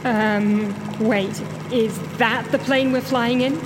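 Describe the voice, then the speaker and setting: A high-pitched, fussy woman in an audio drama, full of dry, incredulous complaint. Clear engine noise sits behind her voice. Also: fairly even intonation, slow.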